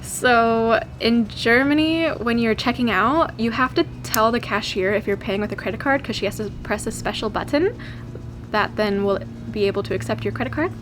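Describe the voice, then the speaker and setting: A young American woman from California, chatting to camera in a lively, giggly vlog. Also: a little high, normal speed, noisy background. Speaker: vlogger. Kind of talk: casual chat to camera.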